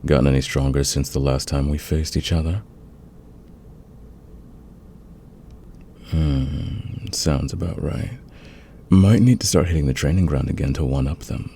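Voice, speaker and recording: Man acting a very deep, flirty fantasy villain. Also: gravelly, mellow, slight background noise. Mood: teasing, flirtatious. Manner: teasing, intimate, drawn-out confiding delivery. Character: flirtatious, smug male fantasy villain, secretly smitten rival. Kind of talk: romantic ASMR roleplay acting.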